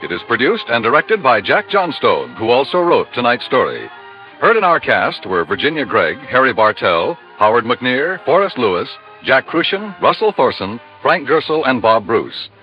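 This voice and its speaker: A man's voice: mid-range voice, very animated, normal speed. An American man announcing a 1950s radio drama in a formal, showy scripted style. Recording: muffled vintage radio recording with music.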